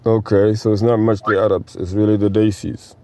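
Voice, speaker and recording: A man's voice: lowish voice, flat delivery, a little fast, heavy background noise. A man leading a casual group discussion as interviewer, probing with follow-up questions.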